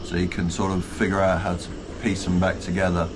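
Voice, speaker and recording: Middle-aged man, casual boat-repair vlogger, lowish voice, practical and rambling, close handheld camera, clear workshop noise behind. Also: monotone, fairly quick. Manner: rambling, self-deprecating, practical explaining. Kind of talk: casual vlog chat. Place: inside a boat cabin under renovation. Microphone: handheld wide-angle camera close up. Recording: noisy boat workshop ambience.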